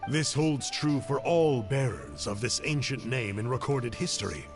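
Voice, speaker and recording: A man voicing a calm, bookish young hunter in a video game, reading old records aloud in a measured way. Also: medium pitch, natural voice. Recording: game audio with clear music behind.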